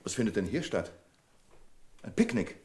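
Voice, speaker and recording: An irritable, commanding bank manager in a German radio play. He is curt and sarcastic, pitched a little low, and his intonation rises and falls widely. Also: very animated, moderate pace, darkish tone. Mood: stern, curt.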